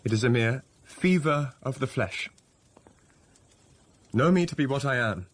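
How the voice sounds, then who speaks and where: A man's voice: mid-range voice, animated, measured pace, natural voice. An actor playing a stern, self-denying young clergyman in a period radio drama, speaking formally and measuredly. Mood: intense, austere.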